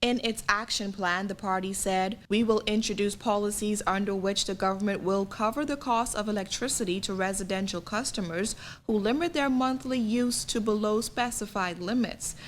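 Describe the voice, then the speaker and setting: A woman's voice: medium pitch, moderate pace, hardly any pauses, slight background noise. A woman reading a scripted television news report as a reporter.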